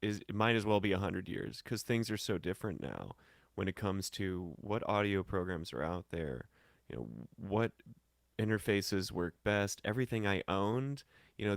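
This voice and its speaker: An American man from St. Louis telling casual stories as an interview guest, in slangy, animated talk. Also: low voice, normal speed, vocal fry, dry recording, clean studio sound. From St. Louis, Missouri, United States. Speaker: podcast guest, singer and musician. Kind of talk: casual conversational storytelling.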